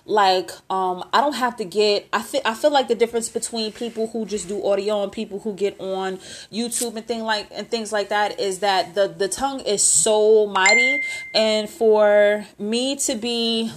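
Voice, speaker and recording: A woman's voice: mid-range voice, moderate pace. A woman in her late twenties hosting a casual solo podcast intro, rambling and candid, with lots of filler and mild swearing. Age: 20s. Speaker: podcast host.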